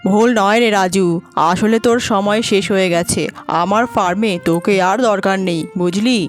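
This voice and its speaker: A woman's voice: medium pitch, steady tone, moderate pace, lightly acted. A woman playing a stern, dismissive farm boss in a cartoon, speaking in a curt, commanding way. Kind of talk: cartoon dubbing. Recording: music behind the voice.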